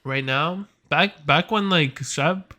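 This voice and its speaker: A man's voice: on the high side, slow, pushed and bright. A young man of Puerto Rican background co-hosting a podcast, talking casually in a rambling, slangy way. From Puerto Rican family background. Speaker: podcast co-host. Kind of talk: casual chat.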